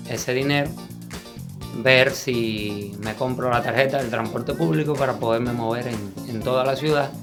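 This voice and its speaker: A man with a Cuban accent vlogging as he walks the streets in winter, chatty and thinking aloud, often repeating words mid-sentence. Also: medium pitch. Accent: Cuban Spanish. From Cuba. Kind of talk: casual travel vlog narration. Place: city streets outdoors in winter. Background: music.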